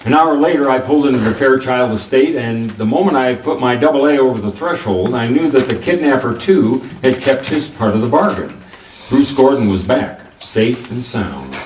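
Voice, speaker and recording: An actor playing a wry, hardboiled private detective, narrating a noir radio drama in a clipped way. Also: male voice, medium pitch, animated, moderate pace, darkish tone, close to an everyday voice. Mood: wry, brisk. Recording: roomy, distant mic, faint noise.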